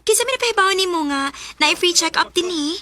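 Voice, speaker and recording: A bright, very high-pitched Bisaya schoolgirl. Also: animated, a little fast, full character voice. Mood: friendly, chatty. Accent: Filipino, Bisaya. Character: young schoolgirl Sara, loyal and earnest friend. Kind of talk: Bisaya radio drama acting.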